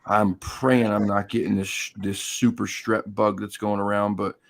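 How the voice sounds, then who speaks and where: A hoarse man hosting a casual live stream, chatty and greeting viewers by name. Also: lowish voice, little pitch movement, moderate pace. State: hoarse, losing his voice.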